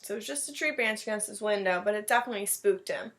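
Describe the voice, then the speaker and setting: A woman's voice: mid-range voice, quick pace. A woman reading a storybook aloud as a teacher, adding chatty, encouraging asides in simple words for children. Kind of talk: animated storybook read-aloud with casual asides.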